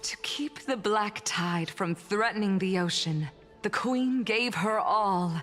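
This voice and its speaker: An actress playing a solemn sea siren in a fantasy game, speaking in a grave, measured, theatrical way. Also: female voice, medium pitch, a bit bright, soft background music. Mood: solemn, foreboding. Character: solemn female sea siren recounting her kingdom's peril. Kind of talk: theatrical scripted game voice acting.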